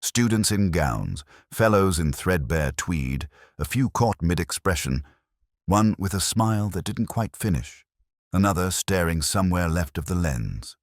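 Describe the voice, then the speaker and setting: A man playing a brooding, erudite English detective who narrates a mystery in measured, introspective, literary phrases with a husky voice. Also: low-pitched, lively intonation, no room sound, studio quality. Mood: wistful, suspicious. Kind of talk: scripted first-person mystery narration.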